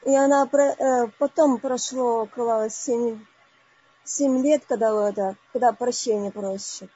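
A woman in her forties calling in to a live online session, telling a personal story haltingly with many broken-off words. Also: on the high side, fairly even intonation, mellow, slightly rough, highs cut off. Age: 40s. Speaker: caller.

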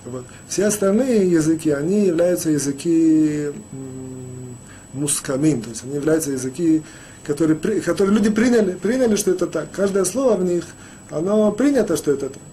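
A man teaching a Torah lesson as a rabbi, talking haltingly with many restarts and fillers. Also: on the high side, warm tone, slight background noise. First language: Russian, rarely used in daily life. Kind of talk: spoken Torah lecture.

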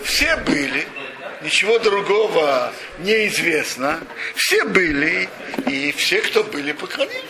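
A man with a notably high voice teaches a scriptural lesson, retelling the story animatedly and posing rhetorical questions. He often stops and restarts his sentences. Clear background noise runs beneath the recording. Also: big pitch swings, slow. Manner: frequent restarts and self-corrections. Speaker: religious teacher. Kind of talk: Torah lesson retelling a midrash.

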